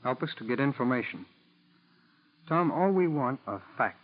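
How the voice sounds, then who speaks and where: An actor playing a grave, earnest FBI agent, persuasive and deliberate, with an American accent in 1940s radio style. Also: male voice, medium pitch, expressive, natural voice. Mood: urgent, persuasive. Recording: dull 1940s radio recording.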